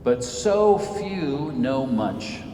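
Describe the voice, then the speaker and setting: A man in his fifties from Senegal, giving an earnest, measured public lecture as an author. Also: a little high, sing-song, mellow, slight background noise. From Senegal, West Africa. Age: 50s. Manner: earnest, measured, emphatic delivery. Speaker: author and speaker. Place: large cathedral hall. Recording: echoey hall from far off.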